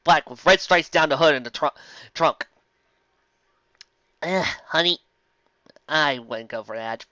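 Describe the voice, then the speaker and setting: Casting: theatrical, mocking fanfic narrator, mid-pitched with a sharp forceful edge, on a slightly muffled close-sounding mic. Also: measured pace, no room sound. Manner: theatrical, exclamatory, sarcastic asides. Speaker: host of a fanfic reading show. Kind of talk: comedic dramatic reading with mocking commentary.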